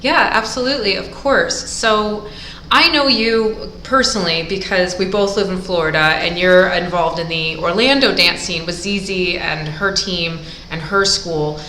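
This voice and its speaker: A woman's voice: lowish voice, unhurried, bright, forceful tone. A woman hosting an interview, friendly and effusive in her introductions. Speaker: interview host. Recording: echoey room with faint noise.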